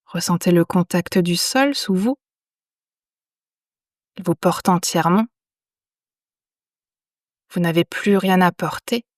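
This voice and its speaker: A woman guiding a yoga nidra meditation in a soothing, unhurried manner, leaving long pauses between phrases. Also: on the low side, no echo, studio quality. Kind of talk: guided meditation.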